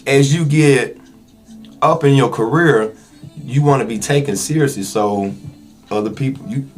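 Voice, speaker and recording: A man's voice: medium pitch, unhurried, soft background music. A man speaking as a podcast guest in casual, slangy conversation, candid and emphatic about his work as an actor. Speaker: interview guest, actor and independent filmmaker.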